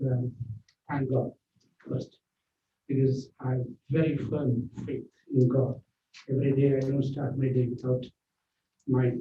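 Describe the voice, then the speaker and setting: A man's voice: a little high, warm tone. An older man whose first language is Malayalam, giving an earnest, rambling speech of thanks at his book launch, with many fillers and restarts. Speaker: author speaking at book launch. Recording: echoey hall, distant mic, dull and noisy.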